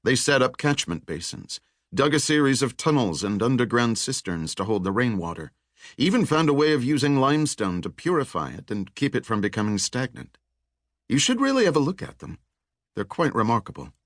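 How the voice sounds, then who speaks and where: A man's voice: mid-range voice, very animated, fairly quick, dry recording, clean studio sound, pitched above the performer's own voice, natural voice. A man voicing a restless, learned male researcher in an audiobook, brooding and wry, with a lecturing manner.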